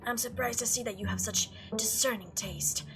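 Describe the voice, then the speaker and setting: A woman voicing a curt, dry and haughty cousin in a visual novel game, in a clipped, sarcastic deadpan. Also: on the high side, normal speed, music in the background, lightly acted. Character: curt, dry, haughty cousin Tabitha showing her old house.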